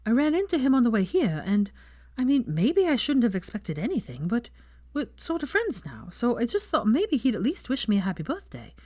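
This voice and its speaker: A woman's voice: on the high side, huge pitch swings, fairly quick, darkish tone, pitched above the performer's own voice. A woman voicing a prickly, indignant young witch who teaches school, in audiobook dialogue. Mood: miffed. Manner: snappish, indignant.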